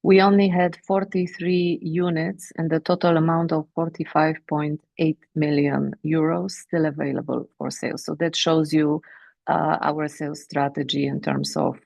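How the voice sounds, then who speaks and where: A woman with a Romanian accent presenting company sales figures on an earnings call, measured and pausing often with fillers. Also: sits low, flat delivery, creaky, darkish tone, dry recording. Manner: measured, with frequent filler pauses. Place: remote teleconference.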